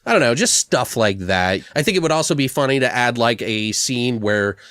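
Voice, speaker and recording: A man's voice: mid-range voice, expressive, normal speed, fairly bright tone, dry recording. A man hosting a podcast, casually brainstorming comic story ideas in a crude, jokey, sweary way. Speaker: podcast host. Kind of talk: casual comedic brainstorming chat.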